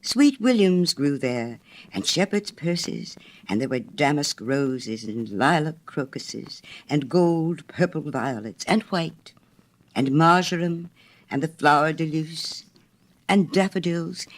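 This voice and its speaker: A man narrating a children's story from a script as an expressive storyteller. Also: on the high side, slow pace.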